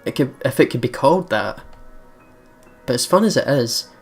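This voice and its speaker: A let's play host giving casual, excitable game commentary and reading a visual novel's narration aloud. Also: medium pitch, normal speed, breathy edge. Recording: game music behind commentary. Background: visual novel game music.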